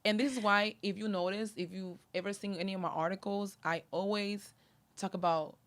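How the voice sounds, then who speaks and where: A woman's voice: medium pitch, fairly even intonation, brisk. A woman from Honduras, hosting a casual chat, talking animatedly and full of fillers. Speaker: podcast host.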